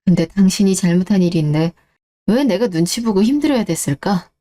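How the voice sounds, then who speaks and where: A woman's voice: medium pitch, lively intonation, fairly quick, dark tone, lightly acted. A woman playing a betrayed, cool-headed wife who questions and reproaches in radio-drama dialogue. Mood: cold, reproachful. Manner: cold, interrogating, reproachful.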